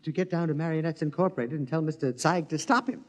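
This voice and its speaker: Man acting high-voiced, agitated husband. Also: quick pace, natural voice. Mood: urgent. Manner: urgent, stammering. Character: agitated, indignant wronged husband. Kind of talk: 1950s radio drama acting.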